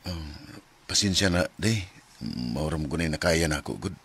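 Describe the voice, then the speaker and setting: A man acting a gentle, patient, poor working father in a Filipino radio drama, apologetic and soothing, with a Cebuano accent. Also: a bass voice, expressive, normal speed, slightly rough, exaggerated voice. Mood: apologetic, pleading.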